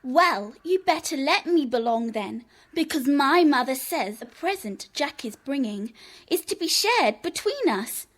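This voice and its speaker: A cheeky, defiant little schoolgirl in a children's audio play. Her high voice bounces around as she argues and taunts her way into the club. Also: lively intonation, measured pace, a little hiss or hum. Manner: argumentative, taunting. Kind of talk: children's audio drama acting.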